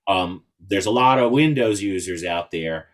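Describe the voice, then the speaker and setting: A man's voice: low voice, animated, measured pace. A man giving an informal instructional presentation to a club as an invited speaker, explaining things step by step. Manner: explanatory, spells out codes in phonetic alphabet.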